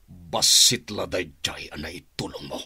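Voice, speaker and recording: Gravelly, raging bully of a father. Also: low-pitched, measured pace, exaggerated voice. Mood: furious, threatening. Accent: Filipino. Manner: barking, threatening, shouting. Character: violent, bullying, hot-tempered father of a grown son. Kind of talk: Philippine radio drama acting.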